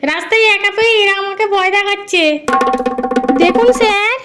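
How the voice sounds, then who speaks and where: Piercingly high indignant cartoon lady. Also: fairly even intonation, fairly quick, loud music behind the voice. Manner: shrill, threatening, affronted. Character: indignant, scolding woman accusing men of harassment. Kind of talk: comic Bengali cartoon dubbing.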